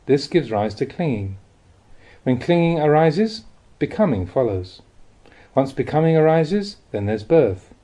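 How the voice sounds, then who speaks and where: A man reads Buddhist teachings as an audiobook. His voice is mellow and a little husky, with a mid pitch and lively rises and falls. The delivery is measured and explanatory. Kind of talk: audiobook reading of a spiritual talk.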